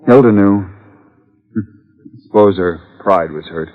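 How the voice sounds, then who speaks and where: An actor playing a henpecked, shaken tennis player in earnest, halting 1950s radio style. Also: male voice, lowish voice. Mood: confessing. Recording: muffled, reverberant old radio recording.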